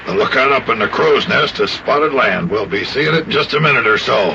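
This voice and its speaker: An actor playing a jolly, patient old sea captain in a children's radio play, with folksy nautical talk and repeated phrases. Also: male voice, medium pitch, lively intonation, fairly quick, vocal fry. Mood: jolly, assured. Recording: muffled, noisy old radio recording.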